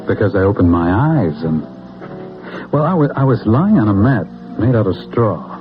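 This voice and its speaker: A man with an American accent acting in a radio drama as an earnest, dazed soldier, telling his story haltingly and reflectively. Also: on the low side, very animated. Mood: reflective, wondering. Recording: phone-like old radio, clear music behind.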